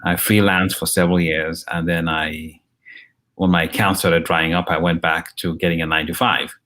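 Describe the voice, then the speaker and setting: A man in his fifties from Nigeria, a journalist and professor giving an interview, talking in a measured way with frequent ums and restarts. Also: deep voice, level tone, sharp tone. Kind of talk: conversational interview.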